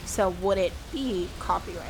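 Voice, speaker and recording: Woman, middle pitch, losing her voice, hesitant casual chat, noisy recording. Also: normal speed. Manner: thinking aloud, hesitant with fillers. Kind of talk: casual chat over gameplay.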